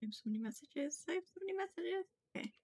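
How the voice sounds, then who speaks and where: A woman's voice: very high voice, animated, racing, a little mellow. A woman streamer reading video game dialogue aloud expressively, with casual offhand remarks. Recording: music behind the voice. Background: video game music.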